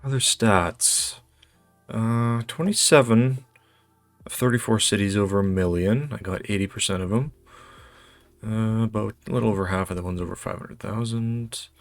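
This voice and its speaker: A North American man with a fairly low voice hosts a casual quiz playthrough. He thinks out loud, often hesitating and muttering to himself. Light music plays behind him. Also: moderate pace, dry recording. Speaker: host playing a geography quiz. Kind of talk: casual game commentary, thinking aloud.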